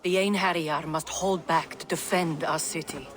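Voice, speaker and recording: An actor playing a level-headed, cautious Norse god in a video game, reasoning firmly. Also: male voice, on the high side, fairly even intonation, normal speed, a bit bright, a little hiss or hum, close to an everyday voice. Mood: measured, firm. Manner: firm, reasoning. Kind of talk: dramatic video game acting.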